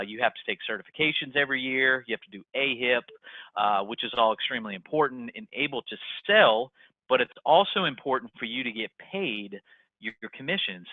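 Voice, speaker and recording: Male business trainer, average pitch, informal and emphatic presenter style, lo-fi call audio. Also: no room sound. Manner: explanatory, folksy, emphatic on key points. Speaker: marketing manager giving agent training. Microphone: conference call line. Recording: dull, lo-fi conference call audio.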